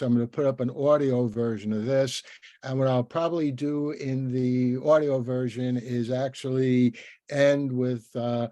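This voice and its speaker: A man hosting a casual podcast interview, talking in a halting, rambling, encouraging way. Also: mid-range voice, dark tone, dry recording. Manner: halting, rambling, with many repeated word starts.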